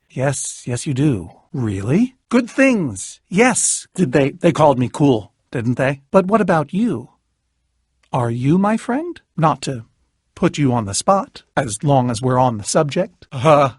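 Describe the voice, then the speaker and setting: A middle-aged man voicing an eccentric, lonely, excitable researcher in a video game, with verbose, pompous, theatrical diction. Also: fairly high pitch, husky, no echo, clean studio sound, heavily acted. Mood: hopeful, flattered, then awkwardly friendly. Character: eccentric, lonely, excitable male researcher obsessed with studying creatures. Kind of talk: animated video game voice acting.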